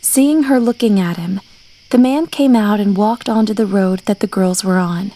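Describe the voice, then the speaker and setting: A woman's voice: mid-range voice, moderate pace, dark tone. A woman reading a scripted scary story as its narrator.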